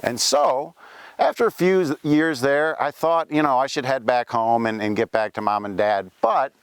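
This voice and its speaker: A man's voice: medium pitch, normal speed, clear, resonant tone, dry recording, close to an everyday voice. A man playing the ghost of a Welsh-born pioneer gold miner, telling his life story in a folksy first-person way with frequent asides, outdoors at a cemetery. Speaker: costumed cemetery tour performer. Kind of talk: first-person historical reenactment.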